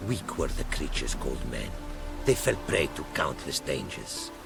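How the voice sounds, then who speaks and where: A proud, courtly explorer from a fantasy game. His voice is low and creaky, with sweeping melody, and he speaks in ornate, backwards-turned phrases. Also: big pitch swings, normal speed, music in the background, exaggerated voice. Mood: reverent storytelling. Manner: formal, inverted, poetic phrasing. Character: courtly, philosophical Ophiri merchant explorer, proud and gracious. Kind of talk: video game character acting.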